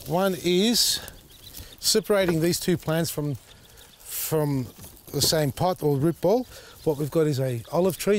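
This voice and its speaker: A man, slightly husky as his voice recovers, chatting casually while demonstrating repotting outdoors in his garden. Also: a little high, a little hiss or hum. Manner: chatty, thinks out loud, many fillers. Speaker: gardening show host. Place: outdoor garden beside a tall hedge.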